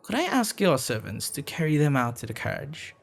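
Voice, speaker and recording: A man's voice: on the high side, very animated, fairly quick, gravelly, faint music behind, voice pushed up, heavily acted. A man playing a courteous, flustered, deferential storekeeper who repeats himself in an ASMR audio roleplay. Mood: flustered, apologetic. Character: polite, flustered, deferential male storekeeper, an assassin's disguise.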